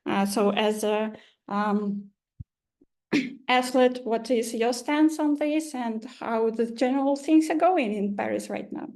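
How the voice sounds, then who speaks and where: A woman hosting an interview, asking long questions haltingly with many fillers. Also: fairly high pitch, a little mellow. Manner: halting and hesitant, with many fillers between phrases. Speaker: interviewer host.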